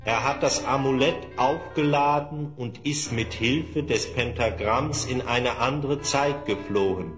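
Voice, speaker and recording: A man playing a kindly, wise old wizard who speaks in a measured, solemn, instructive way in a fantasy audio drama. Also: medium pitch, over music, natural voice. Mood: solemn, reassuring.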